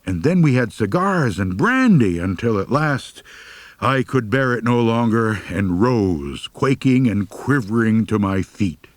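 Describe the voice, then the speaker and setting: Animated low-voiced male storyteller. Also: unhurried, dry recording. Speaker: audiobook narrator. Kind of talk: dramatic humorous first-person storytelling, scripted narration.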